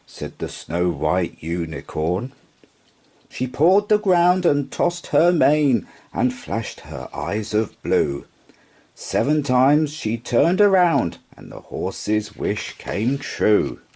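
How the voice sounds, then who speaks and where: A man reading a children's story aloud as rhythmic, singsong verse with expressive narration. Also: lowish voice, slow pace, breathy edge. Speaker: storybook reader.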